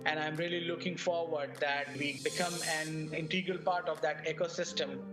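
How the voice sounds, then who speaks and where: A man's voice: a little high, level tone, normal speed. A man giving a promotional testimonial as a business representative. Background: music.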